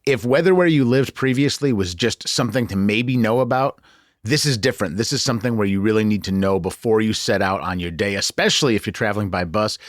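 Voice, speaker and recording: A man's voice: lowish voice, a little fast, dry recording, studio quality. A man hosting a news podcast in a conversational style. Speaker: podcast host.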